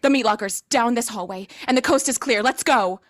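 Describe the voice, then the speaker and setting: A woman's voice: fairly high pitch, fairly quick, dry recording, clean studio sound, lightly acted. A woman playing an eager, impatient rookie zombie hunter in a comedic audio play, speaking with earnest urgency. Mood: impatient, urgent.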